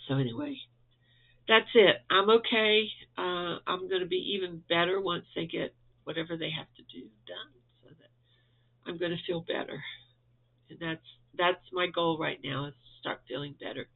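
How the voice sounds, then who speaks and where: A man's voice: sits high, fairly even intonation, moderate pace, gravelly. A man from Florida, stuffed up and losing his voice, giving a chatty, rambling update to viewers from home with frequent chuckles. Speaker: crochet vlogger. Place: at home indoors. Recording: tinny phone-like audio.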